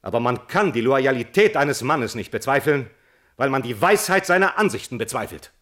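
Earnest male defence attorney, medium pitch, measured and argumentative radio-drama courtroom delivery. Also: sing-song, a bit bright. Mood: earnest, insistent. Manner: formal, deliberate, argumentative. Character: measured, earnest defence lawyer pleading at a hearing.

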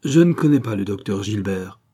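A proud, frightened countess, voiced by a man with a lifted but still husky tone. Her answers come short and defiant, the voice warm and dark underneath. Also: lowish voice, expressive, moderate pace. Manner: terse, defiant denials.

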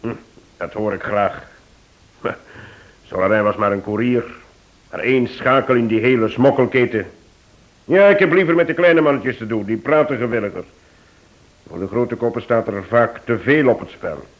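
A man acting as a shrewd, genial police chief in a radio play. He speaks at a middling pitch with easy authority, and the old recording is dull and lo-fi. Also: animated, moderate pace, long pauses, natural voice. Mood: genial, confident. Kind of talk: Dutch-language radio drama acting.

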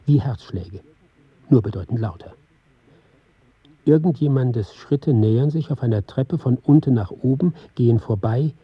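Husky man precise score reader. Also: medium pitch, dry recording, dull audio. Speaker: reader of a sound score in a radio play. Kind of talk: dramatic scripted reading.

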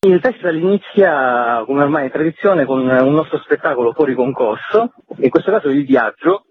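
A man's voice: on the high side, animated, normal speed, slightly rough. A man giving explanatory answers as an interview guest, a festival's artistic director. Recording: tinny phone-like line, roomy echo.

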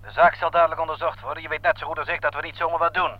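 A man's voice: a little high, flat delivery, rapid-fire, dry recording, close to an everyday voice. An actor playing a formal, controlled male army lieutenant in a radio play. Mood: official. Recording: dull lo-fi old radio play.

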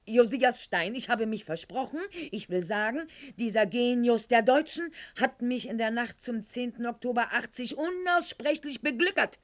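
A woman's voice: a little high, normal speed, few pauses, close to an everyday voice. An actress playing a proud, witty, wounded aristocratic lady in a theatrical radio drama monologue, articulate and ironic. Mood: agitated, flustered. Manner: articulate, haughty, ironic, literary phrasing.

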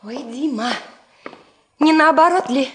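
An actress in a Russian radio drama playing an engineer's gentle wife, polite and hospitable, in a very echoing recording. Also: female voice, sits high, slow pace. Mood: light, concerned. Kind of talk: 1970 Soviet radio drama acting. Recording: echoing, roomy radio-play sound.

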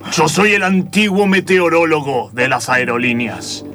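A man's voice: a little high, lively intonation, music in the background. An Argentine man playing a defiant mad meteorologist villain in an improvised comic radio play, theatrical and indignant. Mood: indignant, pompous. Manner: theatrical, indignant, drawn-out proclamations.

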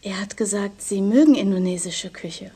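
An actress playing a seductive, mysterious, self-assured woman in a crime radio play, with breathy, teasing, coaxing delivery. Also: mid-range voice, moderate pace, mellow. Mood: teasing, coy. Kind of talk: 1990s German crime radio play acting.

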